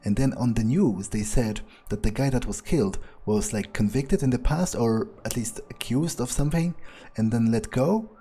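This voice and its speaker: A man thinking aloud in character during a video game playthrough, halting and matter-of-fact, with casual swearing. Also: medium pitch, dark tone, breathy edge. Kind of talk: in-character musing aloud, thinking through events.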